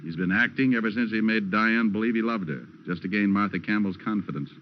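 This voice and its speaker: An American actor playing a wry, hard-boiled private detective who narrates an old radio crime drama in slangy style. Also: male voice, fairly low pitch, fast, a little hiss or hum, lightly acted. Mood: wry, cool. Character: wisecracking hard-boiled male private detective, cool and sardonic. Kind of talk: 1940s-50s radio detective drama acting. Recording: old muffled radio recording with music.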